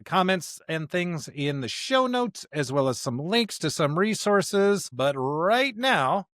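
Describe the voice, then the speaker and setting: A man's voice: high-pitched, very animated, slow pace, a bit bright, no echo, studio quality. A man co-hosting a podcast, discussing and reading scripture in an emphatic, conversational way. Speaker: podcast co-host.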